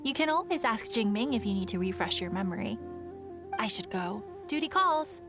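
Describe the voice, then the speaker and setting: A woman's voice: medium pitch, big pitch swings, moderate pace, natural voice. An actress playing a friendly, helpful, dutiful young townswoman in a video game, polite and reassuring. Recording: music behind the voice.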